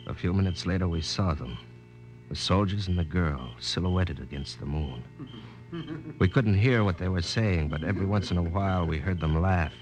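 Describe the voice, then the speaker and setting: An actor playing a determined, brooding American captain with an American accent, narrating an old radio drama. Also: male voice, low voice, normal speed, music in the background, close to an everyday voice, low-quality recording. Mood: sombre narrating.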